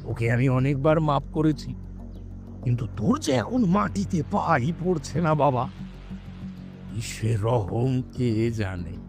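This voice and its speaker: Man playing a haughty landlord, slow, swooping delivery. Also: mid-range voice, sing-song, over music. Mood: condescending menace. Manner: condescending, threatening drawl. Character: haughty, menacing male village landlord. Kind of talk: audio drama acting.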